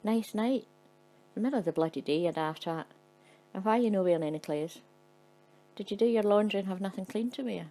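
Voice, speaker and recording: A woman's voice: medium pitch, brisk, pauses often, warm tone, faint music behind, lightly acted, poor audio. A woman voicing a foul-mouthed, grumpy Scottish woman tourist with a Scottish accent. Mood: irritated. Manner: swearing, cross and blunt.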